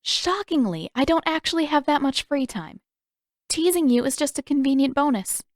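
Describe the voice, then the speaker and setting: A teasing teenage girl, acted by a woman reading a story, sure of herself and a little dismissive. The voice is lifted in pitch, with sardonic delivery and a dry storybook sound. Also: expressive, moderate pace, no echo.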